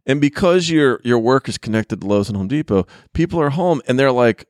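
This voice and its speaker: A man hosting a loose, jokey chat among friends, his pitch swinging widely as he reacts. The sound is dry and close, with no room echo. He laughs easily and teases the others. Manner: animated, joking, quick to laugh. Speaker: podcast host. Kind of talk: casual group chat.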